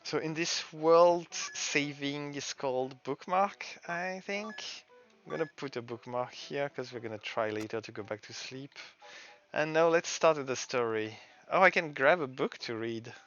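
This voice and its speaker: A man's voice: medium pitch, expressive, measured pace, a bit bright, lo-fi sound, soft background music. A man giving casual, hesitant gameplay commentary, thinking aloud and sometimes reading text out. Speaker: game streamer and the game's creator.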